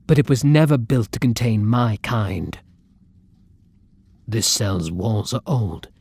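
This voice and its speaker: A man playing a tender, anguished vampire lover in an intimate ASMR roleplay, speaking in hushed, formal, earnest phrases. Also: fairly low pitch, exaggerated voice. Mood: hushed, determined. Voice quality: breathy, hushed, often near whisper. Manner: slow, earnest, old-fashioned formal phrasing.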